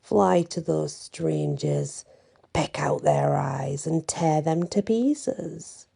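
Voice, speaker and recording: A woman's voice: sits low, very animated, extremely slow, creaky, mellow, breathy edge, heavily acted. A woman voicing a cruel, commanding wicked witch in a bedtime story, giving menacing orders. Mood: cold, commanding.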